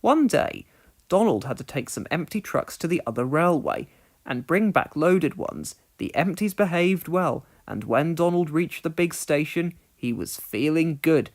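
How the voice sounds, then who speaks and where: A storybook narrator of middling pitch reads for children. The delivery is expressive and deliberately phrased, with wide, animated intonation.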